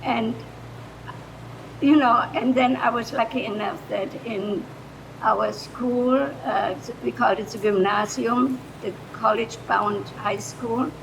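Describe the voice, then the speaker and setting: Older German woman, slow and creaky. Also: fairly even intonation. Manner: halting, hesitant delivery. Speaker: retired foreign language teacher, audience speaker. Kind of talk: improvised public remarks. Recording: noisy room recording with low rumble.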